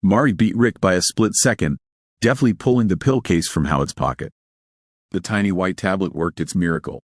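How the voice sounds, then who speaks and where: Very deep male storyteller, close and dry. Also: normal speed, no echo. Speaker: narrator of an audio story. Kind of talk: scripted dramatic narration of sci-fi horror.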